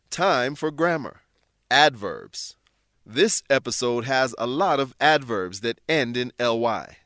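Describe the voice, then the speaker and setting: A man's voice: medium pitch, fairly bright tone, no room sound. Male English teacher narrating, dry studio sound. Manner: instructional, deliberate word-by-word explanations. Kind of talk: scripted educational narration.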